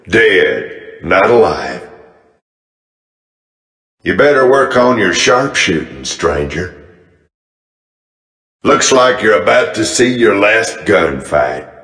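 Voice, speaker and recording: A cocky, menacing Wild West gunslinger for a video game. He talks in a very slow Southern American drawl that swings dramatically up and down. His swagger rings out with a long, echoing reverb. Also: deep voice, fairly bright tone, heavily acted. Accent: American Southern cowboy drawl. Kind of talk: video game character voice lines.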